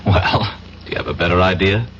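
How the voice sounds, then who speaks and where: An actor playing a stubborn, sardonic American reporter in a radio drama, blunt and defiant, on a dull, noisy old broadcast. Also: male voice, low-pitched, a little fast, fairly bright tone. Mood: wry, wary. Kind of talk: 1970s radio drama acting.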